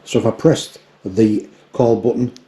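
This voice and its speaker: A man's voice: lowish voice, fairly even intonation, measured pace, heavy background noise. A man in his fifties giving a casual, plain-spoken product review to the camera, explaining things step by step. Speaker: outdoor gear reviewer. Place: forest trail outdoors, and small room indoors.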